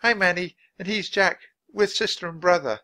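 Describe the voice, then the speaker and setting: A man voicing a plucky, eager little girl in a storybook, bold and quick to reassure. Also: sits high, sharp tone, dry recording, pitched above the performer's own voice. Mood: earnest.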